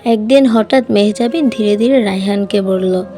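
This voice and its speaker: A woman narrating a sad love story as a scripted voice-over, reading softly and with feeling. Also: medium pitch, normal speed, soft background music.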